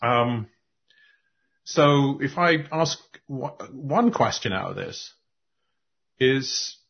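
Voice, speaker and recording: A man hosting a podcast conversation, talking in animated spurts and often restarting his sentences. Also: mid-range voice, extremely slow. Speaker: podcast host and coach. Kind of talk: casual conversation. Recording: muffled lo-fi audio.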